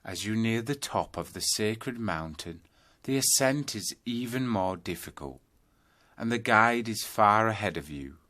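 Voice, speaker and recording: Low-voiced man guiding a meditation. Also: animated, pushed and bright. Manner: measured, instructional second-person storytelling. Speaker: yoga nidra meditation guide. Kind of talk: guided meditation, scripted narration.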